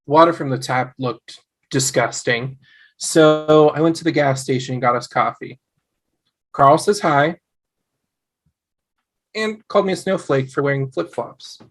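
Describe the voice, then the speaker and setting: A man's voice: a little high, unhurried, long pauses. A man playing an exasperated, sarcastic young husband in a sitcom table read. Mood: dry grumbling.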